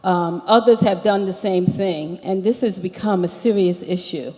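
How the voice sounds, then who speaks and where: A woman's voice: on the low side, moderate pace, dark tone. American-sounding woman speaking up at a public meeting. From Barbados. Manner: earnest and methodical, listing complaints point by point. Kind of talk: public comment at a town hall. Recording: echoey hall.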